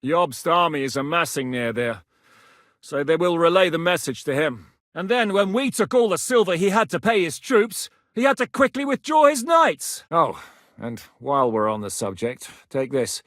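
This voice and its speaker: A battle-hardened rebel commander, lively, animated delivery, game voice work. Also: male voice, a little high, moderate pace, no room sound, clean studio sound. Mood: plain. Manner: commanding, blunt, bellows battle orders. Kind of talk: game voice acting.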